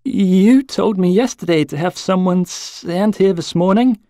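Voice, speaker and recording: A man acts out a storybook office clerk, patient and dutiful. The voice sits fairly high and is recorded dry, with a matter-of-fact way of speaking. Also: measured pace, warm tone, no echo. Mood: dutiful, insistent.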